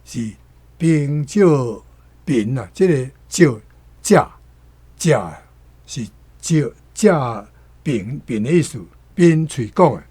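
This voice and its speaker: A man's voice: a little high, expressive, takes it slowly, long pauses, warm tone, husky. A man with a Taiwanese accent explaining things in unscripted discussion, repeating words as he works through a point.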